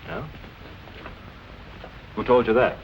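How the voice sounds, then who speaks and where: An actor playing a protective, slightly jealous, uneasy suitor in 1940s film noir style. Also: male voice, medium pitch, little pitch movement, takes it slowly, highs cut off, natural voice. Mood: wary. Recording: noisy old film soundtrack.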